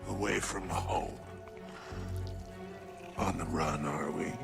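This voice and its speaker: An actor playing a sly, scheming male alien crime lord in a video game, gravelly and mocking, with a theatrical drawl. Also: low voice, steady tone, takes it slowly, music in the background, exaggerated voice. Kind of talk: video game cutscene acting.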